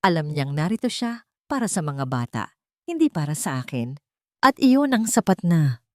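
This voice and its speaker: A woman reading a scripted first-person love story as narrator, in a measured, sentimental storytelling manner. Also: sits low, big pitch swings, no room sound, clean studio sound.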